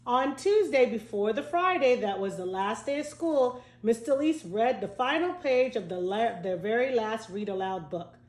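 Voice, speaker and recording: A woman teacher reading a storybook aloud to children, expressive and speaking directly to young listeners. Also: fairly high pitch, normal speed, few pauses. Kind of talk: storybook read-aloud with asides. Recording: echoey room.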